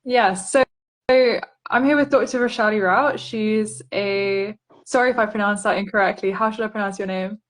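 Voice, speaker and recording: A woman's voice: mid-range voice, normal speed. A woman hosting an interview, introducing her guest and asking questions in a friendly way with frequent ums. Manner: friendly, uses filler um often.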